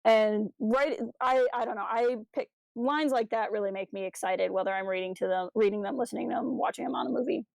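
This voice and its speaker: A woman's voice: a little high, a little fast, creaky, few pauses, darkish tone, no room sound, low-quality recording. A woman co-hosting a podcast, chatting casually in an enthusiastic, analytical way about stories. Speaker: podcast co-host. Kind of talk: casual discussion of a fan audio drama.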